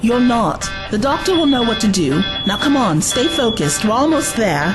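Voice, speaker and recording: A woman's voice: fairly high pitch, sing-song, moderate pace. A woman playing a wary, resolute young companion in an audio drama, firm and urgent. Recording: music under the voice.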